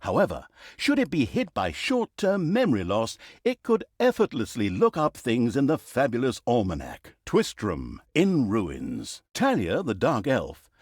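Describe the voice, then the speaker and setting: Sardonic, wildly expressive fantasy game narrator, male. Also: medium pitch, measured pace, husky, no echo, studio quality, full character voice. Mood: wry, mock-grand. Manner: sardonic, pompous, mock-grandiose storytelling with wry asides. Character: snarky, self-aware male storyteller of an evil fantasy saga.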